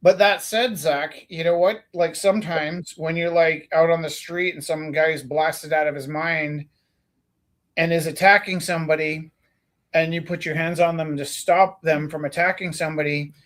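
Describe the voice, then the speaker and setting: A man's voice: fairly high pitch, steady tone, moderate pace. A man from Canada tells a long, winding story with plenty of ums, restarts and the occasional casual swear. His voice sits slightly above the usual range for a man, and he talks like a teacher chatting between friends. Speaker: teacher and stream host.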